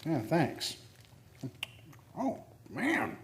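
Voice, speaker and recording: A man plays a nervous, sheepish American oilfield geologist in a radio play. His voice sits a little high and leaps around in pitch, with a hesitant, stammering delivery. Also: wildly swooping pitch, extremely slow, exaggerated voice. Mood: musing, casual. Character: skinny, jittery, sheepish male oil field geologist.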